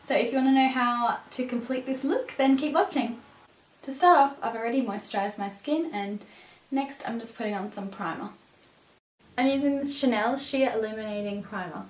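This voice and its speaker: A woman with a raspy voice, a bit sick with a cough, presenting a makeup tutorial as a beauty vlogger. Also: on the high side, moderate pace. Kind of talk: scripted makeup tutorial narration. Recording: roomy echo, distant microphone.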